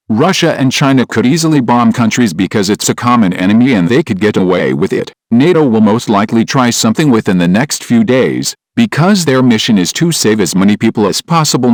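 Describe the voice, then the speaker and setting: A man's voice: medium pitch, no echo, lightly acted. A man playing a former military commander, giving serious, plain-spoken analysis in a scripted news interview.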